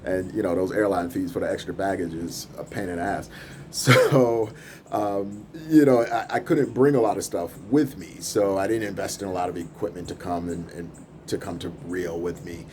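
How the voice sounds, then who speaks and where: A man's voice: low-pitched, normal speed, slight background noise. A man, a music producer and podcast host, talking off the cuff in a casual, rambling vlog from his apartment with a camera in hand. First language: English. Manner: rambling, relaxed, laughs between thoughts. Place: apartment in a big city, filming out over rooftops.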